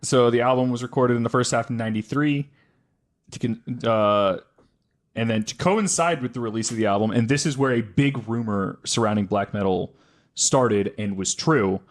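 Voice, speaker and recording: A man with an average-pitched voice hosts a podcast, telling a story from his notes. He talks casually and haltingly, stopping to correct himself and work out tricky names. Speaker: podcast host.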